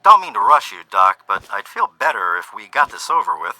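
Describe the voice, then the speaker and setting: An actor playing a nervous, considerate assistant to a doctor in a video game. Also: male voice, fairly low pitch, very animated, brisk, pushed and bright, no echo, full character voice, low-quality recording. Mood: uneasy, polite.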